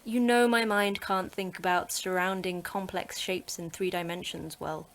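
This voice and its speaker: A woman plays a terse manager of a secret facility, with a blunt, dry, sarcastic way of talking. Her voice sits slightly low, in a sci-fi horror audio drama. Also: steady tone, moderate pace, a bit bright.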